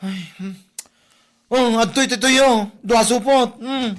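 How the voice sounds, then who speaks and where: A woman's voice: fairly high pitch, takes it slowly. A woman acting an exasperated village storekeeper, grudging and complaining, in a comedy drama. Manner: reluctant, complaining.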